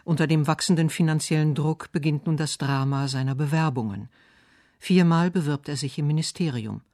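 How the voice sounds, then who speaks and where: A man reading scripted literary narration and verse for radio, measured and articulate. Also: a little high, darkish tone, dry recording, studio quality. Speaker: radio essay narrator and poetry reader.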